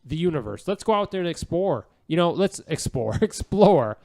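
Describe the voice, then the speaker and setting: A man's voice: on the high side, very animated, moderate pace, gravelly, a little mellow. A man co-hosting a podcast, chatting casually and enthusiastically in a rambling, gushing way that repeats phrases. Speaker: podcast co-host.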